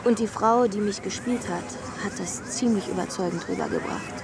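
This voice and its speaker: An actress playing an excitable, self-dramatizing, insistent woman in a German radio play. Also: medium pitch, normal speed, a crowd in the background, natural voice. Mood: insistent, gushing.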